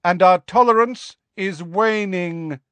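A man voicing a stern, proud male centaur leader with a measured, formal, grave manner in a storybook reading. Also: mid-range voice, expressive, no echo, pitched above the performer's own voice, lightly acted. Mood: warning.

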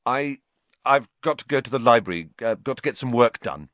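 A man's voice: sits high, big pitch swings, normal speed, no room sound, pitched above the performer's own voice. Man playing a hesitant, guarded teenage boy. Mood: awkward. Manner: hesitant, awkward. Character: reluctant, guarded teenage schoolboy, awkward and low-spirited.

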